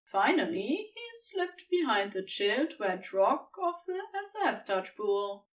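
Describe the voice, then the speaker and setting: Narrator wanted: woman, highish voice, expressive and slow-paced, reading a story, slightly off the mic. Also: far from the mic.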